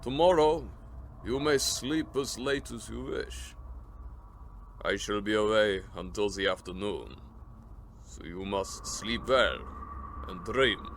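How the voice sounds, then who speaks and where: A sinister vampire nobleman, speaks with ceremonious courtesy. His delivery is formal and deliberate, in radio drama style, over a faint bed of background noise. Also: fairly low pitch, fairly bright tone. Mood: courteous, ominous.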